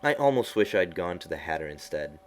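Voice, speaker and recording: A man's voice: deep voice, sing-song, a little fast. A man voicing a curious, polite young girl in an audiobook, asking earnest questions. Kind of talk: audiobook reading.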